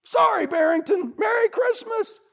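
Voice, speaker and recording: Storybook squirrel male reader: friendly, festive, high-pitched, hollering with drawn-out words. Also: expressive, gravelly, full character voice. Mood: apologetic, cheerful. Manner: shouts in long drawn-out phrases.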